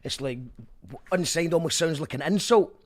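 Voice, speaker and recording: A man, a radio host and band musician chatting casually in an animated, rambling way, often correcting himself. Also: a little high, moderate pace. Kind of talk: casual chat.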